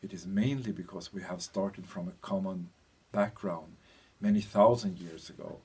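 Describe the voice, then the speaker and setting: A European man giving an informal explanatory lecture as a teacher, speaking in halting phrases with pauses and a husky voice. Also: low voice, a little mellow.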